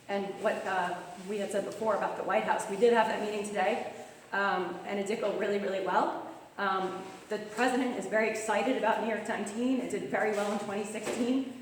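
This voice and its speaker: Fast-talking Long Island woman, hoarse. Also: medium pitch, slight background noise. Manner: fast-talking, earnest appeal. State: losing her voice. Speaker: campaign manager. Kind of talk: speech at a club meeting. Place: meeting room. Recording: echoey hall from far off.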